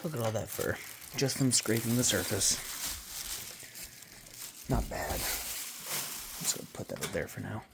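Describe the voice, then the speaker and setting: Low-voiced man rambling casually at home. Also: expressive, measured pace, creaky, pauses often. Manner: offhand, meandering, with quiet mumbled asides. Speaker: vlogger and pet owner. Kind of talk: casual rambling chat while cleaning. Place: home living room, indoors. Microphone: handheld phone camera. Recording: loud background noise.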